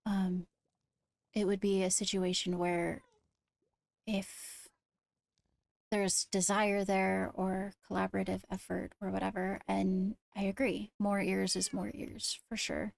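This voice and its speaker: A woman improvises in-character dialogue for a roleplay game. Her voice sits at a middle pitch with a noticeable fry, and she words things carefully and diplomatically. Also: flat delivery, slow pace. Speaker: roleplayer playing a court leader.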